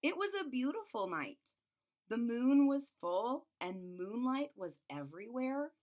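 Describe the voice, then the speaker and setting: A woman's voice: on the high side, very animated, measured pace, a little mellow. A woman reads a children's picture book aloud expressively, adding simple explanations as a teacher would. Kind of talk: children's storybook read-aloud with asides.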